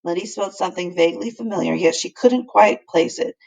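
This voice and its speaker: A woman with a husky, creaky voice reading a story aloud as a teacher would to a class. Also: mid-range voice, a little fast, darkish tone, low-quality recording. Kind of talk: read-aloud storybook narration.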